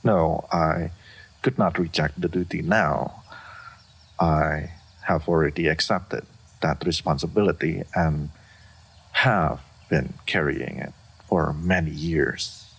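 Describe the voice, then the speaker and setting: A man voicing an aloof, dignified, solitary dragon in a fantasy roleplay, speaking formally and with measured reserve. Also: extremely low pitch, fairly even intonation, vocal fry, a bit bright, husky, heavily acted. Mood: reserved, pensive. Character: aloof, solitary, dignified old male dragon of great power. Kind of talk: scripted fantasy roleplay audio.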